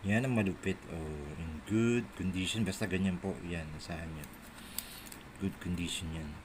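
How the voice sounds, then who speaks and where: A man's voice: low voice, normal speed. A middle-aged Filipino man with a Manila Tagalog accent, rambling casually and muttering to himself as he shows his collection on a handheld phone. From Philippines. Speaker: cassette collector showing a collection. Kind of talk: casual show-and-tell chat, off the cuff. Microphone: phone held in hand while filming. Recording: noisy handheld phone camera audio.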